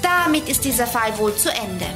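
A woman's voice: on the high side, big pitch swings, moderate pace. A woman playing a clever, eager girl detective in a children's audio drama. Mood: confident. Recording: roomy echo, music behind.

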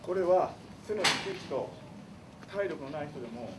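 A man's voice: on the high side, lively intonation, fast. A man teaching a judo technique in short explanatory statements, recorded by a far camera in a large indoor dojo. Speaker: judo instructor. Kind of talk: technique demonstration. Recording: distant voice in noisy room.

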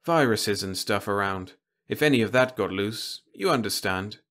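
A man voicing a polite, urbane male guard who speaks smoothly. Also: on the low side, lively intonation, normal speed, fairly bright tone.

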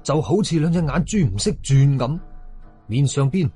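A man's voice: fairly high pitch, lively intonation, moderate pace, dark tone, slightly rough, soft background music. A man with a Hong Kong Cantonese accent narrating a horror story dramatically, as an audio storyteller.

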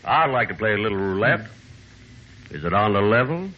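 An actor playing a naive, stubborn cowboy gambler in a 1950s radio comedy sketch, on a muffled, noisy recording. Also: male voice, lowish voice, expressive, brisk, close to an everyday voice. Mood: curious. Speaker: comedian, star of the show.

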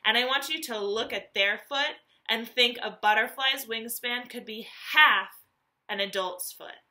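A woman, reading a nonfiction book aloud to young children as a teacher, animated and carefully enunciated. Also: a little high, unhurried. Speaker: elementary school teacher.

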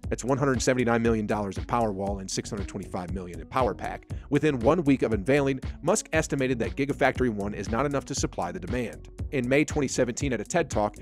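A man reading a scripted narration, in a flat, even delivery of a dense factual text. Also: mid-range voice. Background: faint background music.

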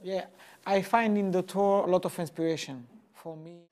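A man from Belgium with a Moroccan accent, speaking in a measured, hesitant way in a testimonial. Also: high-pitched, fairly even intonation, darkish tone. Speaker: tour participant. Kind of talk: testimonial interview.

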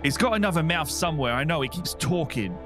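A man's voice: a little high, animated, fast, music in the background. A man playing a tabletop game, bantering in a casual, folksy, sweary way.

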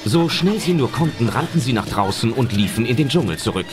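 A man's voice: mid-range voice, lively intonation, fairly quick. A man narrating an audio drama as a scripted adventure story. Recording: loud music under narration.

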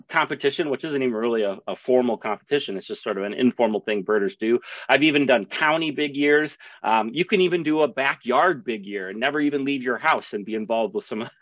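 Lively young man teaching a birding class. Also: medium pitch, no room sound. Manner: enthusiastic, chatty, rhetorical questions. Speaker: birding instructor. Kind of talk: online class lecture over slides. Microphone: webcam video call. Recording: dull lo-fi video call audio.

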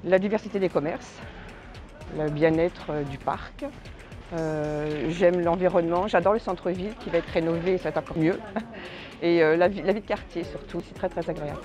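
A woman in her fifties, talking through a face mask, answering softly and haltingly in an outdoor market interview. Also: lowish voice, soft background music. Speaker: resident interviewed.

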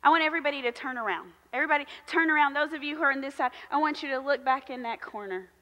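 A woman in her thirties, a pastor preaching a sermon in a large church sanctuary with slow, emphatic repetition. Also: high-pitched, bright, forceful tone. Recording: long church hall reverb.

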